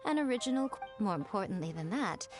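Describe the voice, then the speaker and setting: A woman's voice: mid-range voice, very animated, fast talker, music in the background, lightly acted. A woman voicing a poised, sly kitsune lady who runs a publishing house in an anime game, teasing and self-assured. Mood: poised, businesslike.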